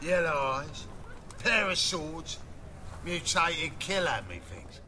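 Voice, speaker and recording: An actor playing a surly, mocking slum gatekeeper in a fantasy video game, gruff and colloquial. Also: male voice, a little high, sing-song, slow pace, bright, forceful tone, a little hiss or hum, exaggerated voice. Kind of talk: dramatic video game dialogue.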